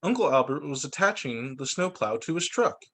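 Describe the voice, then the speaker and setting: A man teaching young children, reading storybooks aloud over a video call. His voice sits a bit high and swoops lively up and down, and he breaks off to talk to the kids. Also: normal speed. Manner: animated, stops to explain to kids. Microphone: laptop mic on video call.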